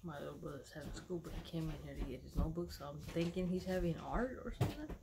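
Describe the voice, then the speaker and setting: A woman in her thirties with a low, gravelly voice, talking to her followers from home. She is from Dallas, Texas and keeps it loose and slangy, rambling along with plenty of fillers. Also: lively intonation, quick pace, very noisy. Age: 30s. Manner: laid-back, slangy, rambling with many fillers. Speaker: vlogger. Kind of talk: casual vlog chat to followers.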